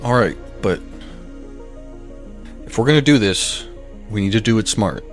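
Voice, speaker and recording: Practical small-town buddy, lowish voice, skeptical, plain-spoken, audio drama, faint music. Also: male voice, lively intonation, a little slow. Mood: reluctant, practical. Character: reluctant, practical loyal friend, small-town man.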